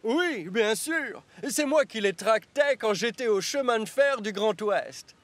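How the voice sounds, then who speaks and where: A man's voice: high-pitched, expressive, a little slow, no room sound. A man voicing an earnest, proud little tank engine in a French children's cartoon, eager and instructive. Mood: helpful.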